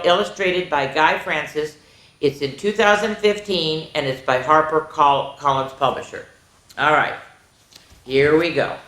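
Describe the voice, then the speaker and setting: A woman's voice: low-pitched, a bit bright. A woman hosting children's storytime, reading aloud expressively in an exaggerated sing-song way with chatty asides to young listeners. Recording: echoey room.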